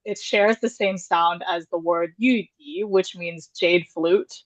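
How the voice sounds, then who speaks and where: A woman's voice: on the low side, steady tone, takes it slowly, no room sound. A woman panelist on a video call, chatting in a friendly, self-deprecating way with frequent laughs. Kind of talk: conversational presentation. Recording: muffled video-call audio.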